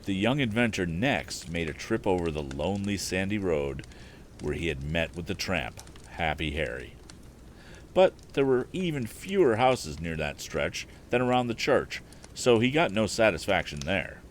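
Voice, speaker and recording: A man narrating a story as its host, reading live with expressive, deliberate phrasing. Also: deep voice, slight background noise.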